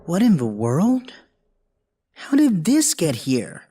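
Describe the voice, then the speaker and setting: A man's voice: sits high, huge pitch swings, slow pace, mellow, slightly rough, far from the mic, full character voice. A man playing a polite, bewildered teenage schoolboy in an audio drama, speaking in a hesitant, apologetic way. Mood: puzzled. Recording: very noisy, clicky microphone.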